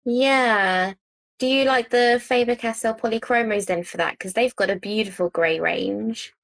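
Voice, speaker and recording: Gravelly woman hosting. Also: on the high side, measured pace, dry recording. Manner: encouraging, lots of short agreeing sounds. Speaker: podcast host. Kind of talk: casual podcast chat.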